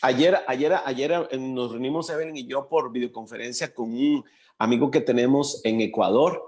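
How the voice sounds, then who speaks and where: A man's voice: mid-range voice, steady tone, a little mellow. Reflective Costa Rican man hosting. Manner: reflective, halting, searches for words. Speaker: interview host. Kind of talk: casual interview conversation.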